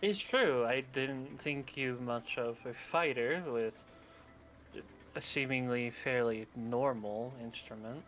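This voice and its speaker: A man's voice: mid-range voice, slow, a bit bright, slight background noise. A man improvising in-character dialogue as a player in a tabletop roleplay game.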